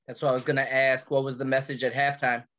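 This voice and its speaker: Male reporter, monotone, slightly muffled line, hesitant questions. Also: mid-range voice, fast talker. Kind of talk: press conference questions.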